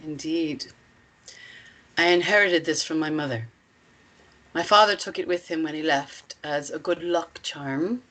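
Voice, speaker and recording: A woman playing a commanding, measured female cult leader in a screenplay reading, instructive and deliberate. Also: deep voice, a bit bright.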